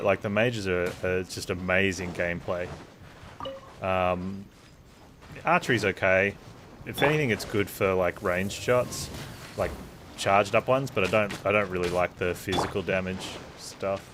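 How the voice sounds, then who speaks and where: A man's voice: low voice, a little slow, noisy background. A man streaming a game with casual, rambling, opinionated commentary. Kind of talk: casual live gameplay commentary. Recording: game music and effects behind.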